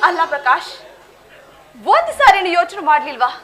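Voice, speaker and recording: An actress playing a young woman who firmly rebukes a former college friend, speaking in a sharp, reproachful, matter-of-fact way over loud background music. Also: very high-pitched, sing-song, moderate pace, exaggerated voice. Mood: scolding. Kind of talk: audio drama acting.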